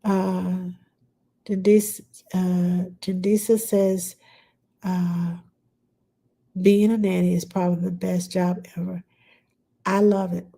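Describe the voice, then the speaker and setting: A woman's voice: lowish voice, little pitch movement, takes it slowly, creaky, pauses often, warm tone. A middle-aged woman with a stuffed-up nose, chatting plainly and bluntly as a nanny answering viewer questions on a live stream.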